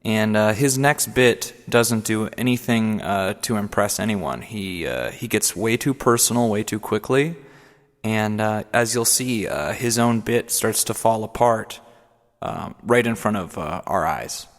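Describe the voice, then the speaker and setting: A man narrating his comedy radio play in a deadpan, halting way. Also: fairly low pitch, long reverb tail. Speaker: narrator and host.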